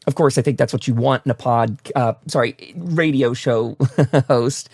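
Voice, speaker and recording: Gravelly-voiced man hosting a casual interview. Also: medium pitch, moderate pace, darkish tone, no echo, studio quality. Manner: enthusiastic, encouraging. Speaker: talk show host, interviewer.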